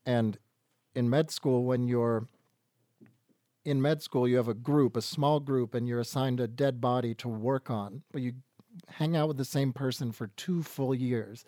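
A man's voice: mid-range voice, measured pace, dark tone, dry recording. A man, a band singer, telling a rambling story with wry humor between songs in a radio studio session.

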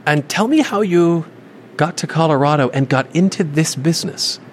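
Measured male news reporter. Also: a little high, animated, a little hiss or hum. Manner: measured, inquisitive reporter delivery. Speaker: radio reporter.

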